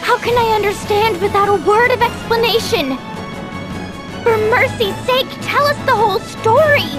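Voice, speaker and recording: An actress voicing a defiant, headstrong young woman in a video game, forceful and indignant. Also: extremely high pitch, normal speed, loud music behind the voice. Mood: exasperated. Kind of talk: dramatic video game voice acting.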